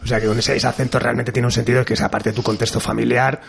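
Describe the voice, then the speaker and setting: A man's voice: mid-range voice, little pitch movement, racing, gravelly, husky. A man hosting a radio interview show, giving formal, polished introductions and asking questions. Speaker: radio host.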